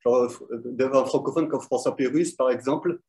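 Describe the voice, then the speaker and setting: A man's voice: mid-range voice, fairly even intonation, a little fast, a little mellow. A man, born in Belgium, a quiz winner talking about his love of words over a video call, hesitant and full of fillers. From born in Belgium, lives in Madagascar. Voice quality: chatty, hesitant, many fillers.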